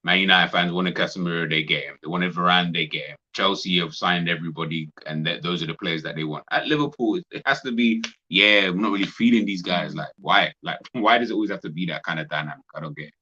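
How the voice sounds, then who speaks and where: Deep, bright-toned man on a dull lo-fi mic. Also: fast talker, hardly any pauses, slightly rough. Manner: animated, slangy, laughs often. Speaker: podcast co-host. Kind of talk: casual football chat.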